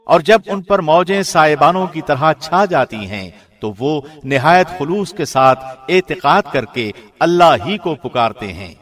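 A man reads a scripture translation in a measured, formal and solemn way. His voice sits slightly high for a man. The studio recording is clean and close. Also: animated, a bit bright. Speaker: translation reader. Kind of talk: scripted narration. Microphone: studio mic.

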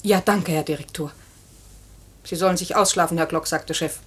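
A woman playing a prim, dry, sharp-tongued secretary in a 1950s radio play, brisk and officious. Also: on the low side, slightly rough. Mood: dry, formal. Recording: noisy old radio recording.

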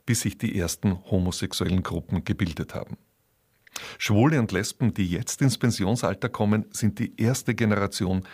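A man's voice: low voice, a little mellow. A man, presenting a scripted introduction as a radio host.

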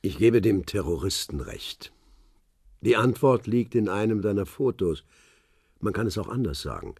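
An actor playing a cryptic old retired secret-service man who talks in measured hints. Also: lowish voice, very animated, darkish tone, breathy edge, dry recording, studio quality, exaggerated voice. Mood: calm. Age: older. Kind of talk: radio play acting.

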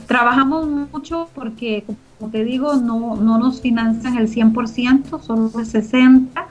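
High-voiced woman interviewee, dull recording. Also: steady tone, measured pace, a little mellow. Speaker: interview guest from a community media organization. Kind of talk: conversational interview, explaining her work. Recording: dull lo-fi audio, music behind.

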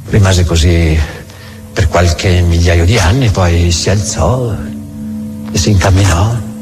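An actor playing a world-weary, mocking devil in a theatrical radio drama monologue, brooding and sardonic, halting and then rushing. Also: male voice, sits low, music in the background. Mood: sardonic storytelling. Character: devil, vulgar world-weary mocking tempter musing on doubt.

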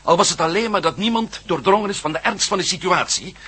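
An earnest hero in a radio drama. His voice is very rough and raspy, bright and forceful, and swings widely in pitch. He speaks emphatically, feeling for the right words. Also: lively intonation, fast talker, exaggerated voice. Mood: grave. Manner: emphatic, hesitating, searching for words. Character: Matt Meldon, earnest, forthright male hero. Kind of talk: radio play acting.

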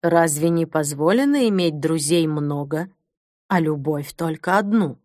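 A woman playing a wise, grave old foster mother in an audiobook, speaking in a measured, solemn way. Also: low-pitched, lively intonation, darkish tone, dry recording, studio quality. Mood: grave, consoling. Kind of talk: audiobook character acting.

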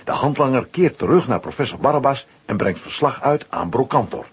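Man narrating a kids audio play, really husky voice, animated, bit muffled recording. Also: mid-range voice, a little fast. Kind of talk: scripted storytelling for a children's audio play. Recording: slightly dull old audio recording.

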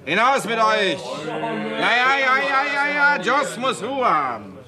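An actor playing a brash, boisterous Hollywood producer-director in a 1960s radio drama, recorded among a noisy group of people. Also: male voice, extremely high pitch, slow, music in the background, full character voice. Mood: bossy. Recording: lively room full of chattering people.

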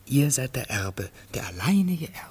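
An actor playing a flattering fellow conspirator in a radio drama, with a dark and very raspy voice. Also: male voice, mid-range voice, moderate pace. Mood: sly admiration. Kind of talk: classic German radio drama acting.

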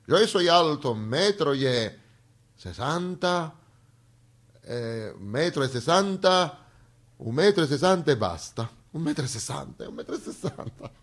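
A man's voice: fairly high pitch, sing-song, a little slow, pitched above the performer's own voice. A man playing a short, arthritic, proud old general on stage, grumbling and blustering in a mixed Genoese and South American Italian accent. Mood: grumbling, indignant. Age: older. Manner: blustering, grumbling, peppered with oaths.

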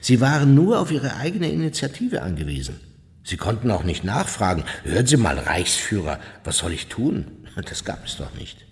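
An actor playing an ageing, unrepentant former SS officer in a radio documentary, husky, blunt and self-justifying as he recalls the war. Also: fairly low pitch, very animated, normal speed, heavily acted. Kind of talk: dramatised memoir monologue in a radio documentary.

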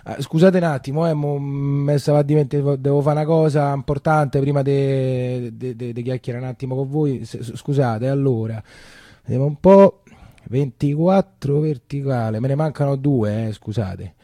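A man's voice: medium pitch, fairly even intonation, normal speed, mellow, dry recording, studio quality. A man with a Roman accent acting a theatrical storytelling monologue in a colloquial, confiding way. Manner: colloquial, confiding, repeats phrases. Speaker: stage actor.

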